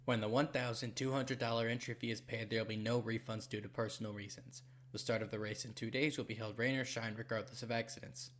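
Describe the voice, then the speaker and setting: A man's voice: on the low side, steady tone, quick pace, hardly any pauses, a bit bright, natural voice. A man playing an officious, unbending registration clerk in a manga dub, reciting rules in a dry, procedural way. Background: music.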